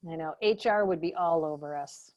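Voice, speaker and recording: A woman's voice: sits low, normal speed, a little mellow, highs cut off, voice pushed down, lightly acted. A woman playing a tired, politely upbeat job interviewer in improvised sketch comedy, hesitant and rambling. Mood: weary, chummy.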